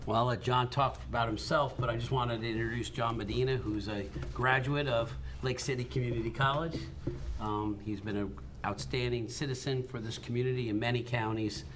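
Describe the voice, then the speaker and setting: A man speaking as a college president at a board meeting, polite and slightly halting with many fillers. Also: on the low side, fairly bright tone, slight background noise. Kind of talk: formal meeting remarks.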